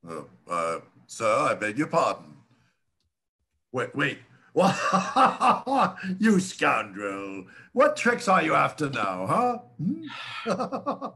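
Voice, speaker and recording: A man playing a blustering gouty old gentleman. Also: medium pitch, huge pitch swings, full character voice. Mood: teasing. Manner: blustering, chuckling, quick to temper. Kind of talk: stage play reading.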